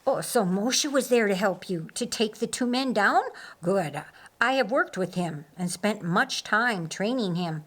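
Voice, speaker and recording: Seasoned, commanding uncle for a story reading woman playing him, measured, coaxing, slow-paced. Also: mid-range voice, lively intonation, fairly bright tone. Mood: probing, coaxing.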